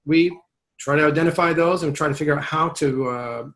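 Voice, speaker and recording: Higher-voiced man, rambling and thoughtful. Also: a little fast, a bit bright. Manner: thoughtful, rambling, restarts often. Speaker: voiceover agent. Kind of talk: casual webinar conversation.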